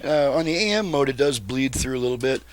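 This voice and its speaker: A man talks through a repair demonstration in an informal, halting way, thinking aloud. His voice sits at an average pitch, and there is clear music behind him. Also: lively intonation. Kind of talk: casual product demonstration.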